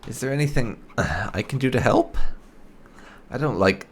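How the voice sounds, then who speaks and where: A man's voice: medium pitch, expressive, normal speed, slight background noise. A man giving casual, roleplay-flavored video game commentary, musing aloud as he plays. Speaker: gaming channel host. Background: game music.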